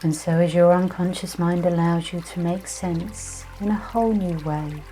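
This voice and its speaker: A woman with a low-set, very mellow voice guides a meditation. She speaks gently and without hurry, leaving pauses between phrases as she narrates a calming visualization. Soft music plays faintly behind her. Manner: gentle, soothing, unhurried hypnotic delivery with many pauses. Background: soft music with trickling, dripping water.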